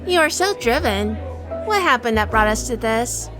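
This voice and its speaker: An actress playing a feisty, flirtatious and sardonic gangster's wife in a 1930s-style radio drama. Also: female voice, on the high side, expressive, normal speed, music in the background, close to an everyday voice. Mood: nostalgic, curious. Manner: sassy, sardonic. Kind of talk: hardboiled period radio drama dialogue and first-person narration.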